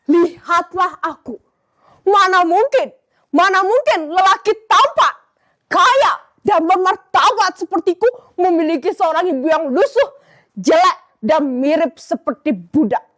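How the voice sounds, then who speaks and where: A girl voicing an arrogant rich young man who sneers and boasts. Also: very high voice.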